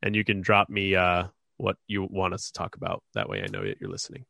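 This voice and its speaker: A man's voice: low voice, big pitch swings, gravelly, pushed and bright, no echo, studio quality. Stuffed-up man hosting a casual podcast. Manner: rambling, halting with fillers. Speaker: podcast host.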